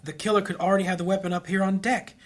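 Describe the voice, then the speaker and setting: Casting: worried, earnest cleric for fantasy audio drama, rapid delivery, raspy-soft husky tone. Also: male voice, high voice, level tone. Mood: urgent, earnest. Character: earnest, anxious male priest on a covert search.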